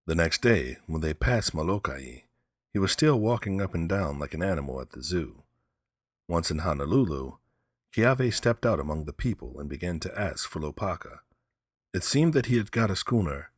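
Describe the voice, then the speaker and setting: A man narrating a story as an audiobook reader, in measured, simple phrasing. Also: very deep voice, no room sound, clean studio sound.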